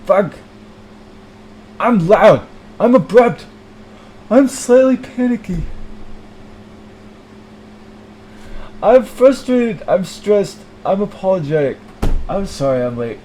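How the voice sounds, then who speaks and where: A man's voice: fairly high pitch, normal speed, darkish tone, a little hiss or hum, close to an everyday voice, low-quality recording. A man playing a stressed, ambitious businessman in his late twenties, abrupt, panicky and apologetic. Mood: frantic.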